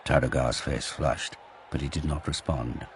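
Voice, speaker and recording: A man's voice: rumbling low, fairly even intonation, normal speed. A man narrating an audio drama in a dramatic, scripted storytelling style. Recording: clear background noise and ambience.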